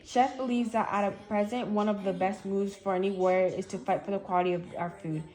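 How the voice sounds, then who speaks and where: A woman's voice: medium pitch, fairly even intonation, fast talker, a little mellow. Young teenage girl, hesitant and stumbling. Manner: hesitant, stumbling over words. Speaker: guest reading an article aloud and answering questions. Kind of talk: halting read-aloud and shy interview answers.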